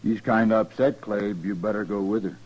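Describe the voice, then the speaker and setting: An actor playing an evasive, callous American rancher in a 1950s radio western, with folksy, halting, understated talk. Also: male voice, on the low side, fairly even intonation, a little mellow, a little hiss or hum, lightly acted, poor audio. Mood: uneasy, offhand. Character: evasive, callous male rancher confessing to a lynching.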